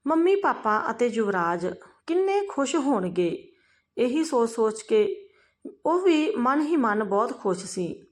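A woman narrates an emotional family story from a script. Her voice sits on the high side and moves with very animated intonation, giving the reading an expressive, dramatized feel. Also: slow.

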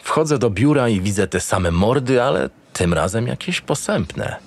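An actor playing a cynical, irritable, foul-mouthed homicide detective in an audio drama, gruff and sardonic. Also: male voice, on the low side, lively intonation, normal speed, a little hiss or hum. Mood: brooding. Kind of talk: first-person audio drama narration and dialogue.

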